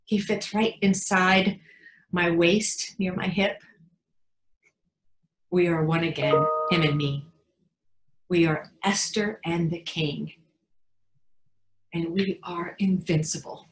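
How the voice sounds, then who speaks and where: A woman's voice: fairly low pitch, takes it slowly, long pauses, echoey room, low-quality recording. A woman playing a tender, flustered mother of a young son in a staged monologue reading. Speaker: actor in a readers theatre.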